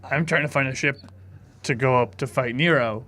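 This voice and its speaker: A man's voice: medium pitch, moderate pace, pushed and bright. A man improvising casually as a player in a tabletop game, sarcastic and incredulous in tone. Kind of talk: casual improvised role-play and chat.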